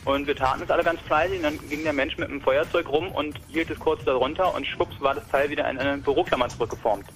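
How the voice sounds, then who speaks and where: A man's voice: a little high, fairly even intonation, fast, hardly any pauses. A young man from Berlin talking casually about a hobby robot project, rambling with many fillers and restarts. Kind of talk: casual technical talk.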